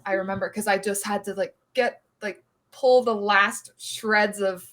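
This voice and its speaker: A woman's voice: mid-range voice, a bit bright. A woman, telling a story on a podcast, filling her talk with "like". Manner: chatty, lots of "like" fillers. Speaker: podcast co-host. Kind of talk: casual storytelling chat.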